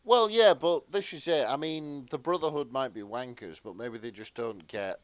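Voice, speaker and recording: A man's voice: medium pitch, moderate pace, no room sound. Man chatting, dry close recording. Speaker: podcast co-host. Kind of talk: casual banter.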